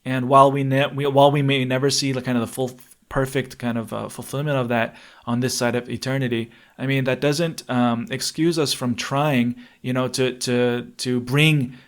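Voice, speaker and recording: A man co-hosting a podcast, speaking in a reflective, measured way as he feels for his words. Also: medium pitch, little pitch movement. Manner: hesitant, thoughtful, measured. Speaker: podcast co-host.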